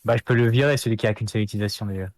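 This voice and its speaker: A man's voice: fairly low pitch, fairly even intonation, very fast, no room sound. A man playing a tabletop game, talking casually and stumbling over repeated words. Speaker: player.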